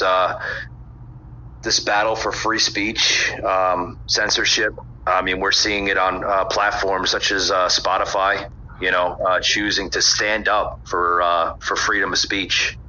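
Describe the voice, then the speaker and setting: A man hosting a political podcast, talking in a halting way with many pauses. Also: sits low, bright, forceful tone, a little hiss or hum. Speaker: podcast host. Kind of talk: casual political podcast talk. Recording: dull lo-fi audio.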